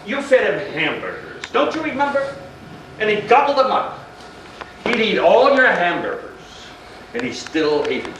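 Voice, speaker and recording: A man's voice: high-pitched, huge pitch swings, normal speed, a bit bright, heavily acted. An actor playing a sarcastic, menacing married middle-class man in a stage play, needling in a theatrical manner. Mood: intense, accusing. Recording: echoey, distant, noisy live stage recording.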